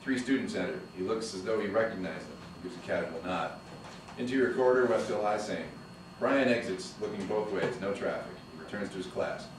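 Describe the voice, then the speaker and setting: A man reads the stage directions of a screenplay in a brisk, matter-of-fact way. His voice sits low and moves fast. The recording comes from across an echoey room, with noise behind it. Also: expressive, distant mic. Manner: brisk, matter-of-fact reading with laughs at slips. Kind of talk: table read narration of stage directions. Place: studio room.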